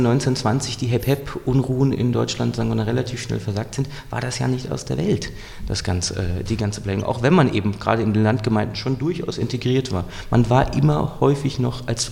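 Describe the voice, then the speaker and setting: A man with a fairly low, gravelly voice talks through a history in a measured, explanatory way, pausing and restarting his sentences as he thinks. The room gives his voice a long, ringing reverb. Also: a little mellow. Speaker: historian guest. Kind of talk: thoughtful interview answers.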